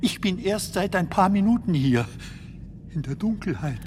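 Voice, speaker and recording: An actor playing a bewildered, chatty male comedian lost in the dark, polite and plaintively questioning, in a radio drama. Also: high-pitched, lively intonation, moderate pace, slight background noise.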